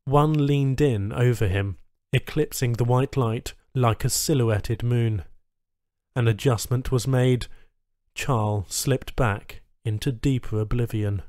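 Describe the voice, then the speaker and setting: A man's voice: medium pitch, takes it slowly, darkish tone, dry recording. A man narrating a scripted story as an audiobook reader, recorded close to the microphone.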